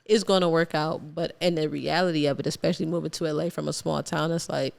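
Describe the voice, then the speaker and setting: A young woman from Ohio, a guest artist talking through her journey in a relaxed, reflective interview, laughing easily. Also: low voice, fast, gravelly. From Ohio, USA. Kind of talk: casual interview chat.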